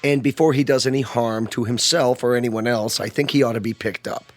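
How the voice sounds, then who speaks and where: Man playing a firm middle-aged father, mid-pitched. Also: steady tone, brisk, faint music behind, lightly acted. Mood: skeptical, decisive. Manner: firm, matter-of-fact. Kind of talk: radio drama acting.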